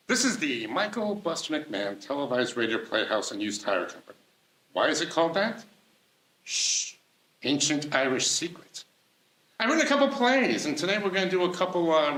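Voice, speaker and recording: Man host, deadpan jokes, lively pitch, roomy echo. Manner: jokey, deadpan punchlines. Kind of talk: comic stage introduction.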